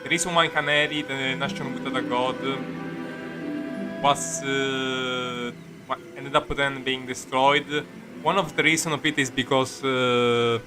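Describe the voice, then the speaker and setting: A man comments casually on a game as a streamer. His mid-pitched speech is rambling and halting, searching for words, with music clearly playing behind him. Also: steady tone. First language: Italian. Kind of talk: casual live gameplay commentary.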